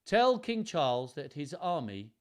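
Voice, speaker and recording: A man voicing a calm, firm young English king. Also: a little high, measured pace, voice pushed up. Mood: resolute.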